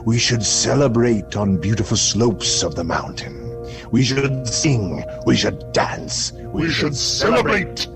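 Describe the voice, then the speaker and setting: An actor playing an eerie, grandiose radio science reporter, delivering a portentous monologue with dramatic pauses over music. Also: male voice, mid-range voice, big pitch swings, creaky, exaggerated voice. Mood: fervent. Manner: portentous, dramatic pauses, swinging from hush to proclamation. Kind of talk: theatrical scripted radio monologue. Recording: music behind.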